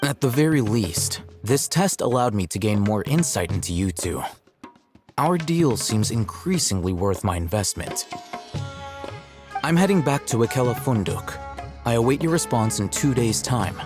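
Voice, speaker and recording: An actor playing a composed, aloof young scholar in a video game, speaking in a measured, matter-of-fact and formal way. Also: male voice, mid-range voice, lively intonation, over music, natural voice. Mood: cool, matter-of-fact. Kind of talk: video game cutscene dialogue.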